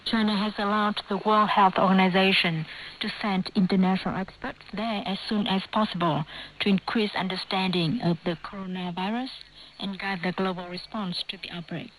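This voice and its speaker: A woman's voice: mid-range voice, steady tone, moderate pace. Woman reading news over noisy shortwave radio.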